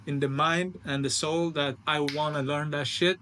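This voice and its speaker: A man with a fairly high voice hosts a casual podcast chat. He talks earnestly, circling back to repeat his phrases. There is some noise behind him. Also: fairly even intonation, normal speed, bright, forceful tone. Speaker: podcast host.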